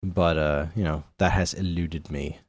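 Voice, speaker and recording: A man's voice: deep voice, fairly even intonation, a little slow, dry recording. A man co-hosting a podcast, chatting casually and telling stories in a conversational way. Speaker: podcast co-host. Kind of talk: casual chat about video games.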